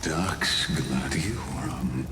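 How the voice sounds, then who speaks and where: An actor playing an ancient imprisoned godlike villain in a video game, calm and smug, taunting theatrically with drawn-out pauses. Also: male voice, very deep voice, very noisy, exaggerated voice. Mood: grandiose, defiant. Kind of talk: dramatic video game voice acting. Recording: echoing hall reverb, music underneath. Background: music.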